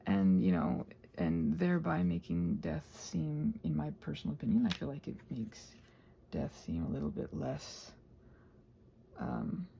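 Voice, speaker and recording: A woman rambling casually as a video host, thinking aloud and trailing off, her voice husky and breathy and often sinking toward a whisper. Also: a bass voice, sing-song, a little slow, dark tone, slight background noise. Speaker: video host and ASMR creator. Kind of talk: casual rambling craft chat.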